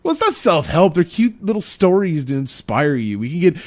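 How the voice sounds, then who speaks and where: A man's voice: on the high side, sing-song, fairly quick. A young adult man co-hosting a podcast, joking and laughing a lot in casual chat. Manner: joking, laughs often, swears. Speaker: podcast co-host.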